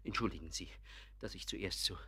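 A man's voice: deep voice, brisk, pushed and bright, husky, exaggerated voice. A man, an actor in a German radio play, playing an aggrieved middle-aged amateur painter who is wounded, self-doubting and repeats his words bitterly. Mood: dejected, resigned. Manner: agitated, repeats words bitterly, stammering outbursts.

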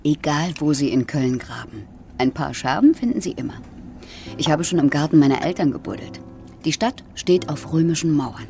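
Mellow, creaky, cool woman. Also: low voice, animated, moderate pace. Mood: dry, composed. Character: cool, teasing, inquisitive woman traveller. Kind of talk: German radio drama acting.

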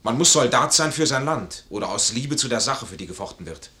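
Proud troubled army officer, old radio play, very expressive swings, forceful voice, formal diction. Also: male voice, medium pitch, fairly quick. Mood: stern, proud. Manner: formal, emphatic stage diction. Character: proud, honorable, troubled army major refusing charity. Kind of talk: 1950s German radio play acting.

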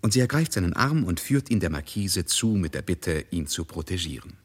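An actor narrating a literary radio drama in a measured, emphatic, worldly manner. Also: male voice, low-pitched, big pitch swings, husky, heavily acted. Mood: dramatic narrating.

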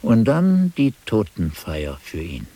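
An actor playing a monk who narrates his memories of youth in a German radio drama, reflective and halting. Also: fairly low pitch, wildly swooping pitch, warm tone, heavily acted. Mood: weary, wondering.

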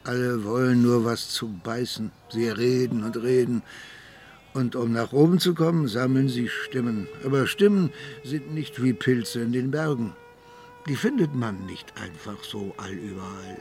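An actor playing an older, pious and patient Sicilian mafia boss in hiding in a radio crime drama, measured and sententious. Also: male voice, medium pitch, a little mellow, natural voice.

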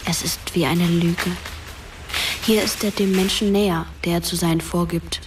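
A woman playing the watchful, tender ghost of a dead teenage girl, narrating a radio play quietly and in measured phrases. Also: on the low side, fairly even intonation, background noise, lightly acted. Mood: quiet, observing. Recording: music behind the voice.

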